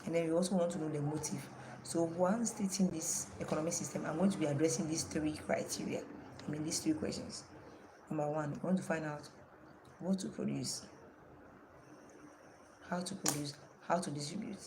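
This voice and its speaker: A woman's voice: deep voice, fairly even intonation, brisk, noisy background. A woman teaching an online class with a strained voice, asking her students questions and repeating the key terms.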